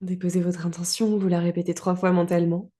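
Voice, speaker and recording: A woman guiding a relaxation meditation as a yoga teacher, calm and soothing, in short phrases with long pauses. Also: on the low side. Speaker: yoga nidra guide.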